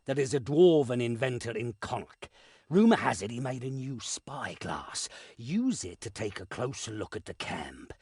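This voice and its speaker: An actor playing a stern, authoritative lord of a castle in a video game, husky and deliberate. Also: male voice, mid-range voice, very animated, darkish tone, no echo, studio quality. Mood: commanding, urgent. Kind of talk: video game cutscene acting.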